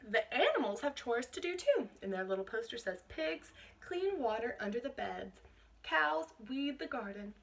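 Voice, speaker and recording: A woman reading a picture book aloud to children in an expressive teacherly way, stopping to explain things. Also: on the high side, moderate pace, vocal fry, husky. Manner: expressive, explains words and asks questions to young listeners.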